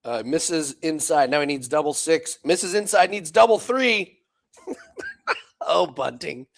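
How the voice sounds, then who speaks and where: A man's voice: on the high side, a little slow, vocal fry. An American man co-hosting a casual live darts chat, laughing often and calling the scores play by play. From United States. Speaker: co-host calling darts bets.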